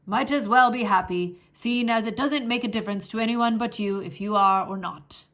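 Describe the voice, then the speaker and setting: A woman's voice: fairly high pitch, normal speed, natural voice. A woman voicing a formal, dutiful male soldier of the king who speaks politely and raises his voice to be heard. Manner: stiff, polite, shouts to be heard.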